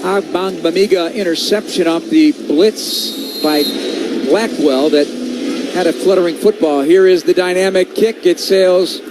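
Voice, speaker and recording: High-pitched American man calling football. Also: unhurried. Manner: clipped play-by-play phrasing. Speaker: play-by-play sports announcer. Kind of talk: live radio American football commentary. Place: stadium broadcast booth. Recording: clear stadium ambience noise behind voice. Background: stadium ambience.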